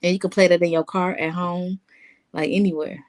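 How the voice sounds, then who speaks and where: A woman podcaster answering interview questions in a casual, soft-spoken way, pausing with um and you know. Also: fairly low pitch, flat delivery. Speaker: guest, podcaster.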